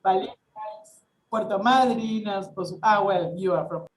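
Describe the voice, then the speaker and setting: A woman who is a native Spanish speaker, hosting a webinar with a careful, somewhat halting delivery. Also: medium pitch. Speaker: webinar host. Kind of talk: welcoming and introducing a speaker, partly read. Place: indoors on a video call. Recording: echoey video-call audio.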